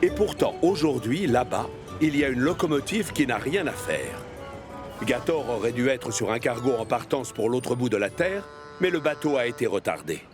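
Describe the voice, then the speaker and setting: A man narrates a children's animated story in a mid-pitched voice. The scripted storytelling sits over a clearly audible music bed. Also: moderate pace.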